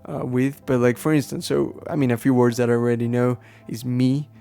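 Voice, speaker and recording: Mellow-voiced man, native Spanish speaker, hosting casually. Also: medium pitch, flat delivery, a little fast, vocal fry, clear, resonant tone. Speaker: podcast host. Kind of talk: casual unscripted explaining to listeners.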